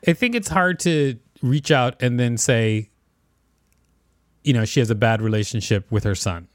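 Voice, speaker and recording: A man's voice: mid-range voice, moderate pace, no echo, studio quality. A man co-hosting a podcast, reading an article aloud and discussing it casually. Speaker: podcast co-host.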